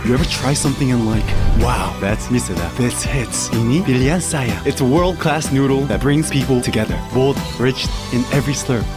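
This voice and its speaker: A man's voice: mid-range voice, moderate pace, music in the background. A man delivering a casual commercial voice-over.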